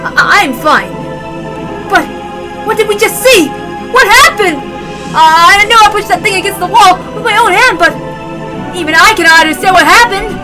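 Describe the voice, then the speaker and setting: A woman voicing a big, panicked, bewildered male servant in a story, with loud, frantic, halting exclamations. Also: very high-pitched, animated, voice pushed up, rough recording. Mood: shocked, bewildered. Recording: loud music behind the voice.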